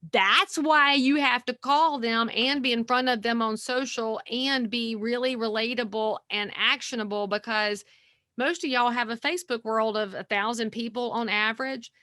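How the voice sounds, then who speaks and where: A woman, talking as a guest realtor on a podcast, folksy and energetic. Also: a little high, no echo, clean studio sound. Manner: energetic, folksy, animated storytelling. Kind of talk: podcast interview.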